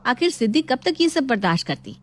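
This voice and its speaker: A woman's voice: high voice, sing-song, a little fast. A woman narrating a scripted moral story as a storyteller. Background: music.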